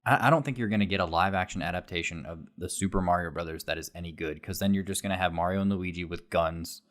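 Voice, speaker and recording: A man's voice: low-pitched, quick pace. A man co-hosting a podcast, chatting casually with wry, joking remarks. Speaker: podcast co-host. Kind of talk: casual comedic banter.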